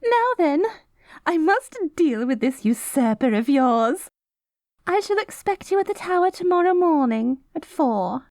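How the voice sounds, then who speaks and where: A woman's voice: sits high, big pitch swings, measured pace, a little mellow, no room sound, studio quality, full character voice. A woman voicing a haughty, self-righteous good queen with a cruel streak, in pompous, theatrical fantasy roleplay style. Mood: smug, condescending. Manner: pompous, theatrical, mock-archaic diction. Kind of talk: scripted fantasy audio roleplay.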